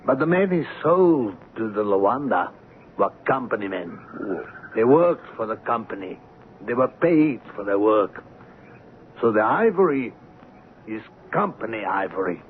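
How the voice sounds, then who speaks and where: A slow, weary colonial ivory trader. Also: male voice, on the low side, big pitch swings. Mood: scheming, insistent. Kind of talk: 1970s radio drama acting. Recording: narrow-band, noisy old radio broadcast.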